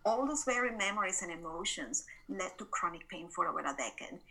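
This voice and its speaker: Bright-voiced woman in her forties. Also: lowish voice, expressive, fairly quick, low-quality recording. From Venezuela. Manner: reflective, earnest, with frequent restarts. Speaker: podcast guest. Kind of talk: personal storytelling in an interview.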